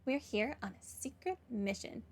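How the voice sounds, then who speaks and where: Female playthrough host: casual commentary, higher-than-average lively voice, light background music. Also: moderate pace. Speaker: game streamer.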